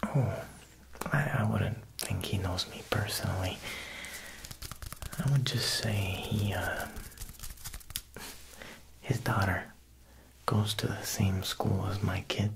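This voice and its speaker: A young man playing a smooth, quietly menacing Italian mob boss tailor in an ASMR roleplay, speaking softly and unhurriedly at a close mic, with insinuating pauses. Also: on the low side, steady tone, noisy background. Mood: probing, sly. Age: young adult. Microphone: close ASMR microphone.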